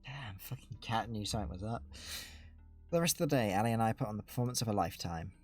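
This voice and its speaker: A man's voice: on the low side, fast talker, soft background music. A man reading a game aloud as a streamer, giving dramatic first-person narration of a visual novel.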